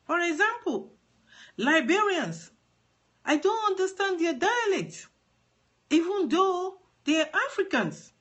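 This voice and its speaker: High-voiced Nigerian woman explaining earnestly. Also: very animated, slow, fairly bright tone. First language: Yoruba. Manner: earnest, explanatory, repeats words for emphasis. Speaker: advocate for trafficking survivors. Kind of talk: live-streamed talk to followers. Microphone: phone.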